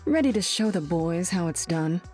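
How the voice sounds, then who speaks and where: Woman playing a dark-voiced, low, cool female spy. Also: lively intonation, normal speed, soft background music, lightly acted. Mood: cool, wry. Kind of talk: video game character dialogue.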